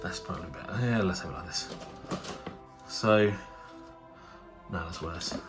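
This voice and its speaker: A man with a cold talks his way through an unboxing in a low, nasal voice. His chat is loose and rambling, full of fillers and restarts. Faint music plays underneath. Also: unhurried. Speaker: tabletop wargaming channel host. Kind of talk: casual unboxing commentary.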